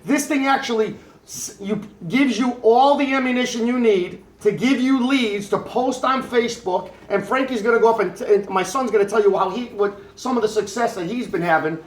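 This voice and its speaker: A middle-aged man with a fairly high voice runs a rambling, salesy pitch, tossing questions out to the audience. The sound carries the echo of a room around him. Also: normal speed. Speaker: presenter introducing his son. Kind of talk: motivational pitch to a home meeting. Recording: echoey room.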